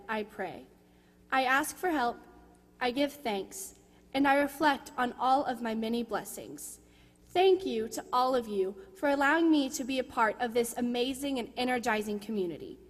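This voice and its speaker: Teenage female, high voice, prepared church testimonial, long hall reverb. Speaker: youth member giving a testimonial. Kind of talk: prepared personal testimonial read aloud at church. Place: large indoor church sanctuary.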